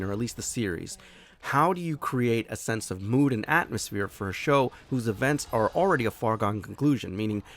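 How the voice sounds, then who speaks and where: A man hosting his own review show, talking casually and excitedly while a little hoarse from losing his voice. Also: medium pitch. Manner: enthusiastic, animated, swears casually.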